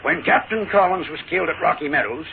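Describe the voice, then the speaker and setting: An actor playing a stern, protective older riverboat captain, commanding, in a 1940s radio western. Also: male voice, a little high, animated, fast, gravelly. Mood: stern, concerned. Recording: tinny, noisy 1940s radio recording.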